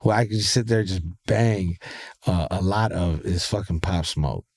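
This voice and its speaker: A man with a nasal voice, a rapper talking loosely as a guest, animated and full of swearing and fillers. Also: low voice, normal speed. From Ontario, California. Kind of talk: casual interview chat.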